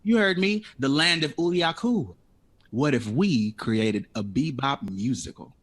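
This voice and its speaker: An actor in an audio drama playing a witty, dreamy jazz composer who talks with playful, dramatic flourishes. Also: male voice, mid-range voice, animated, unhurried, a bit bright, husky. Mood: determined, dreamy. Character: witty, dreamy, theatrical male jazz composer, eager for independence.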